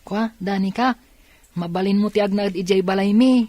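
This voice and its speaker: A woman's voice: mid-range voice, normal speed, mellow, dry recording, lightly acted. A woman acting a welcoming, reassuring aunt in an Ilocano radio drama, with an Ilocano Filipino accent. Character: welcoming aunt offering her home.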